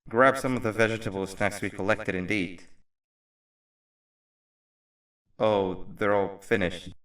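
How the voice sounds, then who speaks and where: A man's voice: fairly low pitch, fairly even intonation, normal speed, fairly bright tone, distant mic, natural voice, rough recording. A man voicing a friendly, easygoing creature companion in a video game, talking in a casual, kindly way. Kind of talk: video game character acting.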